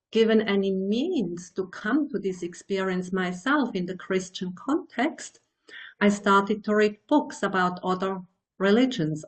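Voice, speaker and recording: Slow, thoughtful woman in her sixties. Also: medium pitch. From Switzerland. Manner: slow, thoughtful, searching for words. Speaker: guest, meditation teacher. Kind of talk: reflective interview answers.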